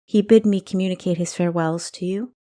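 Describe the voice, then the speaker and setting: Storybook bride played by a female narrator, gentle and placating, measured speech, lowish register. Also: fairly even intonation, natural voice. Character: consoling bride, gentle sister explaining things.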